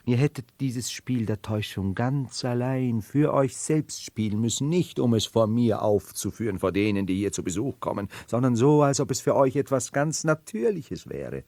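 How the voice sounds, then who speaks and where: A man's voice: mid-range voice, lively intonation, moderate pace, hardly any pauses, mellow, no echo, close to an everyday voice. An actor playing a brooding nobleman who feigns madness as a medieval emperor, theatrical and mercurial, in a 1970s radio play. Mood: scornful, intense. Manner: theatrical, mercurial, dwelling on words then bursting out.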